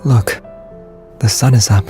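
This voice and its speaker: An actor playing a gentle, quietly confident young man with understated, dry teasing in a romance game. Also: mid-range voice, fairly even intonation, unhurried, music in the background, close to an everyday voice. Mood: gentle, wistful.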